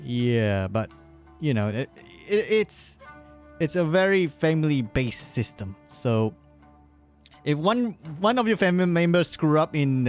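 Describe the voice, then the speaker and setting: Casual man rambling on a game stream. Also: on the high side. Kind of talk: casual live gaming commentary.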